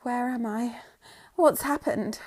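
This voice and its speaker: A woman's voice: fairly high pitch, takes it slowly, a little mellow. A woman playing a frightened, indignant young heiress in an old-time radio melodrama, theatrical and pleading. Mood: dazed, pleading.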